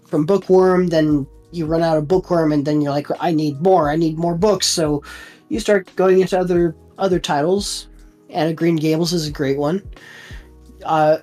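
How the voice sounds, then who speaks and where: A woman with a low voice chats casually as a co-host. She is thoughtful and informal, feeling her way through her points. Light music sits behind her. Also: mellow. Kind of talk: casual chat.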